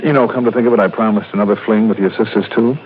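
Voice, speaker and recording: An actor playing a wry, smooth-talking investigator who also narrates in an old-time radio detective drama. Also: male voice, on the low side, steady tone, racing, slight background noise, natural voice. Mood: smooth, evasive. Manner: wry, dry. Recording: tinny old radio recording.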